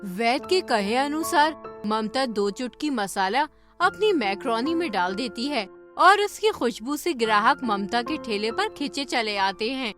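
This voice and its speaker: A woman's voice: a little high, lively intonation, unhurried, fairly bright tone, soft background music. A woman reading scripted story narration for a cartoon.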